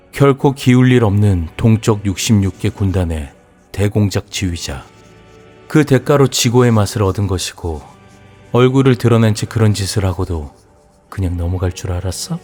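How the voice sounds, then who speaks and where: Guy doing a creepy taunting chest-mouth, low voice, singsongy, soft music under it. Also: lightly acted. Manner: taunting, rising and falling singsong. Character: mocking mouth growing on a man's chest.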